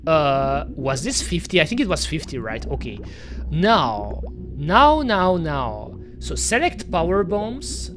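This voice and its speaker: Belgian-accented, French-native streamer; chatty casual game commentary, very animated pitch. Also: takes it slowly, a little hiss or hum. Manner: chatty, talks to chat, lots of "you know" and "pretty much".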